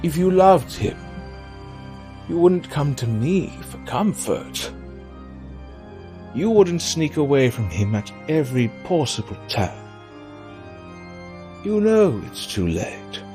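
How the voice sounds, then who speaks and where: Slow, swooping, seductive villain, played by a man. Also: on the high side, very animated, warm tone, faint music behind. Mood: possessive, menacing. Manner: drawling, theatrical, teasing. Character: seductive, menacing, theatrical male villain, possessive and taunting. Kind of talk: audio drama acting.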